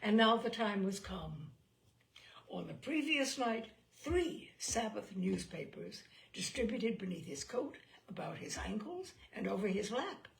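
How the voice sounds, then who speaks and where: A woman reading a literary short story aloud in an expressive, measured and deliberate way, with theatrical phrasing. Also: on the high side, gravelly.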